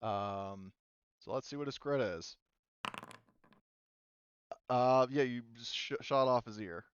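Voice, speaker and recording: A man's voice: medium pitch, slow pace, clean tone, no room sound, highs cut off. A man running a tabletop game as game master, explaining rules and thinking aloud in a casual way.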